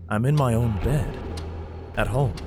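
A man's voice: medium pitch, measured pace, background noise, close to an everyday voice. An actor playing a grim, protective father in a science fiction audio drama, somber and guarded as he tells his story. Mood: haunted. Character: grim, protective father fleeing alien invasion with his children. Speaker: narrator and lead character. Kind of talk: dramatic first-person audio drama narration. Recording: music under the voice. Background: dramatic music.

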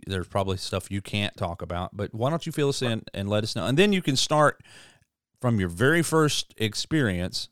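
Host: American man, slightly low clear voice, casual interviewing, very dry recording. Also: animated, normal speed, fairly bright tone, dry recording. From southeast Oklahoma, USA. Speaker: podcast host.

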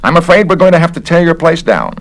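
Snippy officious inspector guy, man putting it on, higher voice, clipped and disapproving. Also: sing-song, quick pace. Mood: contemptuous. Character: irritable prim male government investigator.

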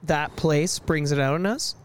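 A man chatting on a casual podcast with friends, joking and halting with restarts. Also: fairly high pitch, clean tone. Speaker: podcast co-host.

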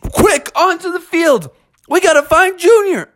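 A man's voice: high voice, expressive, moderate pace, fairly bright tone, no echo, voice pushed up. A man voicing a protective father bear in a children's story, urgent and taking charge of his family. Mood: urgent worry. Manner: commanding, urgent.

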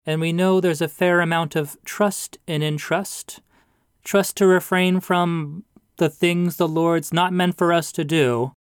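A man voicing a pompous, booming male pastor with a preachy, rising delivery. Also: fairly even intonation, measured pace, clear, resonant tone, no echo, studio quality, natural voice.

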